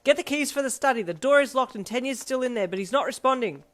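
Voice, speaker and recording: A woman voicing a protective older brother, a young man who is brisk and commanding, in a narrated story. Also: low-pitched, a bit bright, faint music behind. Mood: alarmed, shouting.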